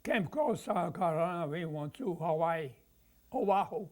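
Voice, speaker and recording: A man, speaking haltingly in an oral history interview about his life. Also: on the high side, lively intonation. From Croatia. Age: 90s. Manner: halting, with many pauses and fillers. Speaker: veteran being interviewed.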